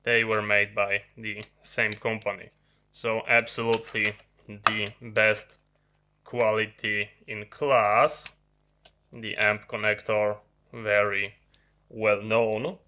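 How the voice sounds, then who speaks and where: Man, non-native English speaker, very slow halting delivery, bright forceful tone, product demo. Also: lowish voice, level tone, a little hiss or hum. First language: not English. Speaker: host of an electronics review channel. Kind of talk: unscripted hands-on product demonstration. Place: indoor electronics workbench.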